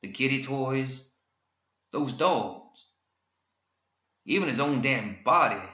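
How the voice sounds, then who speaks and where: Man doing a gruff, slow-talking old townsman. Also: mid-range voice, expressive, sharp tone, pitched above the performer's own voice. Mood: grim, vindicated. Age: older. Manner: slow, grunting, folksy cursing. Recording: roomy, slightly dull recording.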